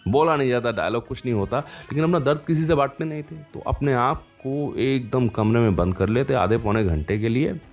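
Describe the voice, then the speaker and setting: A man's voice: medium pitch, brisk, hardly any pauses, faint music behind. A man hosting a radio show, chatting to listeners and telling anecdotes in a conversational way with frequent asides. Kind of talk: conversational radio presenting and storytelling between songs.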